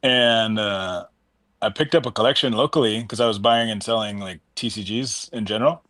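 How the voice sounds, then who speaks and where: A man in his thirties with a lowish voice talks in a rambling, enthusiastic way, often restarting his sentences. He sounds close and dry on a video call mic, with no room sound. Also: moderate pace, a bit bright, no echo. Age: 30s. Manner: rambling, self-interrupting, enthusiastic. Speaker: collector and card seller. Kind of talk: casual group video call chat.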